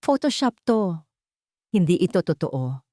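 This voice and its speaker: A woman's voice: fairly low pitch, sing-song, unhurried, mellow, no room sound, clean studio sound. A woman storyteller voicing a humble, sincere young woman caregiver, polite and earnest. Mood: shaken disbelief.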